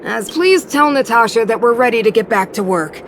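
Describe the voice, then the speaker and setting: A woman's voice: a little high, expressive, moderate pace, a little hiss or hum, lightly acted. A woman voicing a composed, businesslike working woman in a scripted video game scene.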